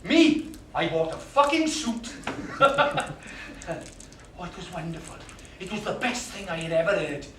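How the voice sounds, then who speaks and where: An actor playing a volatile, violent jilted man. He swears heavily and swings from hushed confiding to roaring rage. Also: fairly high pitch, steady tone, quick pace, a little hiss or hum, lightly acted. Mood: wistful. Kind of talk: theatrical monologue. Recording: echoey room, distant mic, music behind.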